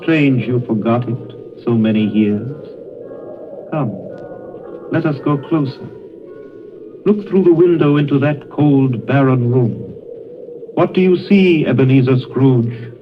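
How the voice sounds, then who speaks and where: A man acting a calm, commanding spirit in a vintage radio play. His mid-pitched delivery is formal and measured, with a stern, probing edge. It comes through muffled and noisy, as an old broadcast. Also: lightly acted. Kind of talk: 1930s radio drama acting.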